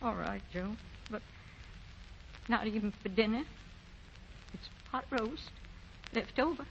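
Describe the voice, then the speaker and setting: A woman's voice: medium pitch, takes it slowly, gravelly, slight background noise. An American woman acting in a 1940s radio drama as a tender, homely older widowed mother who speaks plainly. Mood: worried, tender. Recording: dull 1940 radio recording.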